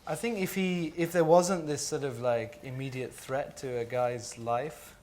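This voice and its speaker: A man's voice: medium pitch, steady tone, a little slow, a little mellow. A man from Jordan speaking at a panel talk, reflective and hesitant, with many fillers and restarts.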